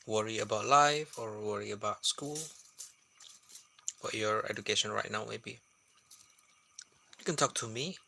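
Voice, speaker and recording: A soft-spoken man, chatting with viewers on a late-night phone livestream. His voice sits low with plenty of gravelly fry, yet sounds very bright and sharp. He talks unhurriedly and stops often to hunt for the right word. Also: a little slow, pauses often. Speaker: live streamer.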